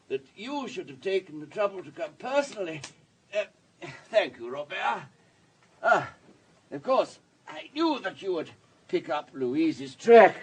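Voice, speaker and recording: A man's voice: on the high side, a little hiss or hum, low-quality recording. An actor playing a wealthy, urbane society man in a radio drama, breezy, courteous and talkative.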